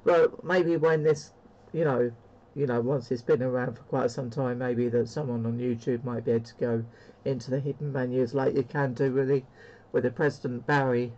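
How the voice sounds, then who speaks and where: A man's voice: medium pitch, steady tone, moderate pace, a little mellow, dull audio. A man chatting casually as he demonstrates a CB radio. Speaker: CB radio operator demonstrating a rig. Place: indoor room with stacked radio equipment. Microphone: handheld CB radio microphone.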